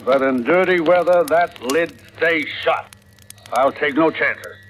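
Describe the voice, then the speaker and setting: An actor playing a stern, wary ship's captain with terse authority in a 1940s radio drama. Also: male voice, on the high side, slow, fairly bright tone. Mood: grudging, stern. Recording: vintage radio recording, faint noise.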